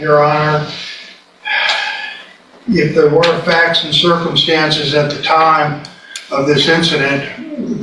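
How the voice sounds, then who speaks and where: An older man making a halting, rambling, repetitive argument as a defense attorney in court. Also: on the high side. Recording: very echoey, noisy, distant courtroom microphone.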